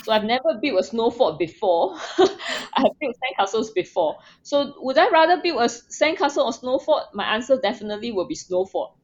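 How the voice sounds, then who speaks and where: A woman's voice: a little high, big pitch swings, fairly quick, few pauses, highs cut off. A woman from Singapore, a podcast guest talking earnestly with many fillers and restarts. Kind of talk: casual interview.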